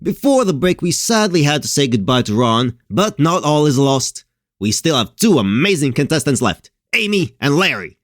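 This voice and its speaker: A man's voice: fairly high pitch, big pitch swings, moderate pace, dry recording. A man playing an exasperated, anxious host of a nightmare game show in an audio drama, sarcastic and showy. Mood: showy, forced cheer.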